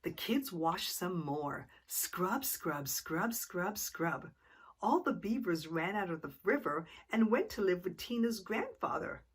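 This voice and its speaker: Female storytime reader: lowish, husky, expressive, addressing young children. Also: slow pace. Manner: asks the young listeners questions.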